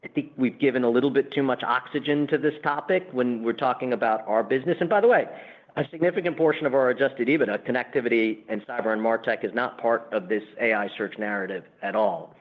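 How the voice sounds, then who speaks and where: A man's voice: mid-range voice, fast. Businesslike man on a muffled conference line. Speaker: company executive answering analyst questions. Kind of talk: earnings call answers, semi-formal explanation. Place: conference call. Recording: muffled, band-limited conference call line.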